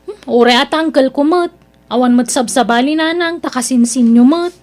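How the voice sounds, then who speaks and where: A woman as a high-voiced, whiny young Filipina niece. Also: normal speed, darkish tone, natural voice. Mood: sulky. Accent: Filipino, Ilocano. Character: proud young niece Mira, reluctant new restaurant dishwasher, complaining daughter. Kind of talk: Ilocano audio drama acting.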